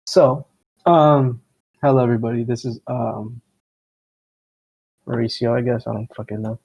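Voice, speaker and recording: A man's voice: mid-range voice, steady tone, moderate pace, a little mellow, husky, muffled recording. A young man with a Miami accent, co-hosting a podcast in a loose, rambling, profane way. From Miami, United States. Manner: rambling, profane, self-deprecating. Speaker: podcast co-host. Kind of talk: casual chat.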